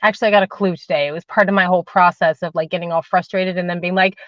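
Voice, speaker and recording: A woman's voice: lowish voice, fairly even intonation, rapid-fire, fairly bright tone. A woman speaks into her phone from the cramped cabin of a parked car, hosting a spiritual livestream. She chats easily, calling her viewers darling and sweetheart, and she leads a meditation while still getting over a cold, with a cough drop in her mouth. The sound is dull and lo-fi and very dry, with no room echo. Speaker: spiritual channeler and host. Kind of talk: casual livestream chat and guided meditation.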